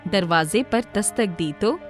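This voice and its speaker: A woman's voice: medium pitch, animated, unhurried. A woman narrator reading a scripted story for children. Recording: music playing behind the voice.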